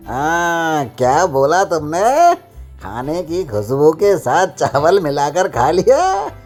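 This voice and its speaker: A woman voicing a bumbling, easily amused king in a Hindi comedy cartoon, exclamatory and deliberate. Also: deep voice, sing-song, a little mellow, soft background music, heavily acted. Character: drowsy, easily amused king judging petty quarrels. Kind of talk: Hindi animated comedy acting.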